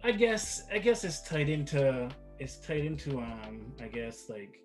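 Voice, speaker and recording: A man's voice: mid-range voice, animated, measured pace, soft background music. A middle-aged man from Barbados, a guest father chatting casually in an interview, rambling with frequent restarts and repeated words.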